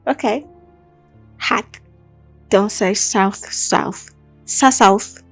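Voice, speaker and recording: A woman's voice: mid-range voice, extremely slow, soft background music. Nigerian woman telling true crime, chatty. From Akwa Ibom, southern Nigeria. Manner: chatty, digressive, animated retelling with asides. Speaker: true crime podcast host. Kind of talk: casual conversational true crime storytelling.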